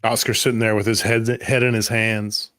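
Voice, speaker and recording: A man's voice: on the low side, level tone, moderate pace, fairly bright tone, dry recording. A man narrating a tabletop roleplay as the game master.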